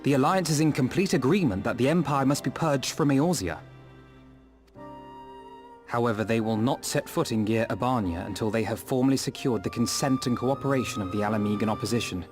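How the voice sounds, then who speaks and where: A man playing an earnest, eloquent young diplomat in a fantasy video game, speaking formally and with measured delivery. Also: medium pitch, faint music behind, close to an everyday voice. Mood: composed, earnest. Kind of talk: scripted fantasy game dialogue.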